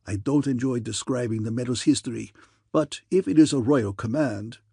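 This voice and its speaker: A man doing a gruff, pompous fake count, thick accent. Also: medium pitch, moderate pace, warm tone, no room sound, clean studio sound. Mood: pompous, boastful. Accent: thick invented foreign aristocratic accent. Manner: gruff, pompous, scowling delivery. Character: brash, boastful con man posing as provincial count.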